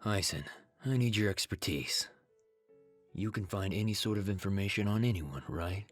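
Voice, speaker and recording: A man playing a very low-voiced, commanding student leader. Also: normal speed, no echo, soft background music, close to an everyday voice. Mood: cold, suspicious. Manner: curt, authoritative. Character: cold, commanding, suspicious young male student leader. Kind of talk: comic dub voice acting.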